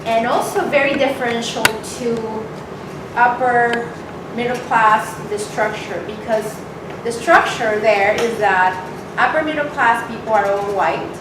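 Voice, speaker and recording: A woman from Mexico, a guest speaker, telling a personal story to an audience in a candid, animated way. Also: on the high side, unhurried. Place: event hall with audience. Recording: echoey hall, mic from far off, noisy.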